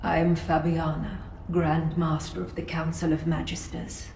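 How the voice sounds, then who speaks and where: Game role: ailing female council leader, dignified and halting, gravelly, in an echoing room. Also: deep voice, level tone, a little mellow, slight background noise. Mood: grave, weary. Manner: measured, formal, halting. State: unwell, coughing. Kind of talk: video game story dialogue.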